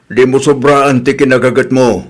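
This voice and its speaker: Man acting middle-aged father, medium pitch, Ilocano accent, caring, fair-minded, gently admonishing, radio drama. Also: steady tone, moderate pace, natural voice.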